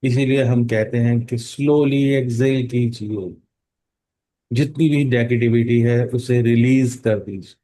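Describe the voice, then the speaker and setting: A man leading a guided meditation in a calm, instructing manner, with long pauses and drawn-out words. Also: medium pitch, rough recording. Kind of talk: guided meditation in Hindi mixed with English phrases.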